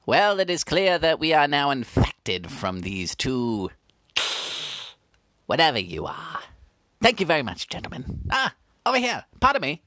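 Deadpan mock-reporter woman. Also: sits low, a little slow, no echo, studio quality. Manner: deadpan, falsely formal. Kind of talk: comedic mock news report.

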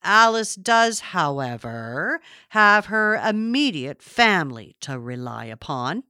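A woman's voice: on the low side, very animated, takes it slowly, bright, forceful tone, no echo, full character voice. A narrator, herself a woman, plays a sanctimonious storybook woman who disapproves of others. She speaks with condescending emphasis, dwelling on her words, in a very dry recording. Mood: sanctimonious disapproval.

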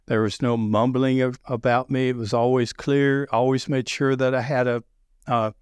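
A middle-aged man with a deep Southern accent, talking loudly and telling sales stories with many restarts. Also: normal speed, smooth, no echo. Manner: loud, animated storyteller with frequent restarts. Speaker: sales podcast guest. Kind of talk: casual conversation.

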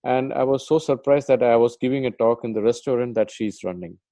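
Mellow-voiced male podcast host, dry sound. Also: mid-range voice, brisk, dry recording. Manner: conversational, loose phrasing with "like" and "you know". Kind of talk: podcast interview.

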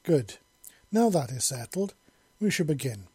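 A man's voice: medium pitch, moderate pace, dark tone, breathy edge, no room sound, close to an everyday voice. A man voicing a curious, commanding female bird-creature mistress in an audiobook story, with formal and precise speech.

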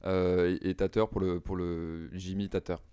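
A man's voice: sits low, little pitch movement, normal speed, warm tone, smooth, lightly acted. A man playing a hapless young aspiring impressionist who hesitates, stammers and repeats himself.

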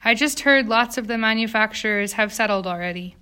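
Woman as timid, sheltered young lady, bright voice. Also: a little high, brisk, clean tone. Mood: unsure.

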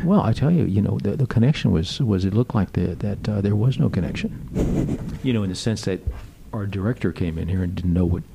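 A man's voice: fairly low pitch, animated, brisk, gravelly, very noisy. A man co-hosting a casual podcast, encouraging and agreeable, often repeating his phrases. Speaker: podcast co-host. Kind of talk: casual chat.

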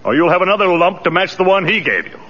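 A man playing a forceful, commanding department store boss in a 1940s radio drama, on a muffled old recording. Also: high-pitched, expressive, fast. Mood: angry, commanding. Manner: forceful, peremptory.

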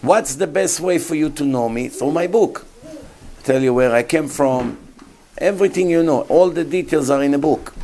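A man's voice: a little high, moderate pace, gravelly, mellow, soft background music. Emphatic man preaching. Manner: emphatic, rhetorical questions to the audience. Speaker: rabbi giving a talk. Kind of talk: sermon.

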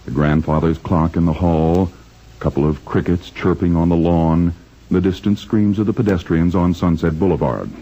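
A man's voice: sits low, steady tone, moderate pace, warm tone. A man playing a genial, wry 1940s radio announcer and narrator with a theatrical manner. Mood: hushed, wry. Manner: theatrical, tongue-in-cheek. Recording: muffled, faintly noisy old radio recording.

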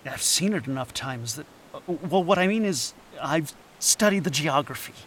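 A man's voice: a little high, expressive, normal speed, a bit bright, a little hiss or hum. A man acting a scatterbrained, dreamy anthropology professor in an audio drama, earnest and prone to hesitant stammering. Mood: flustered.

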